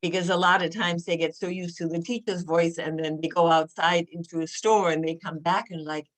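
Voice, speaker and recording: A woman's voice: lowish voice, fairly even intonation, fairly quick, poor audio. A woman who teaches adult English learners, sharing classroom experience in a friendly, anecdotal way. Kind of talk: casual discussion among teachers.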